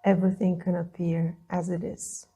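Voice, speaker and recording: A woman leading a guided meditation, speaking in a soothing, gentle way with long pauses between phrases. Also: lowish voice, little pitch movement, husky. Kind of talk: guided meditation, calm instruction.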